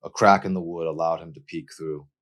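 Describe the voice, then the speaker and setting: A man's voice: deep voice, fairly even intonation, fairly quick, fairly bright tone. A man reading his own novel aloud as dramatic audiobook narration.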